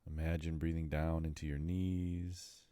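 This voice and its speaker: Meditation guide, male: very deep and mellow, soothing and deliberate in delivery, unhurried pauses between phrases. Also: level tone, no room sound.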